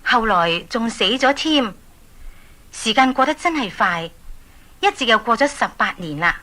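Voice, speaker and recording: A woman's voice: on the high side, animated, a little slow, pushed and bright. A woman with a Hong Kong accent narrating a scripted story for children, addressing them directly. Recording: 1980s story cassette.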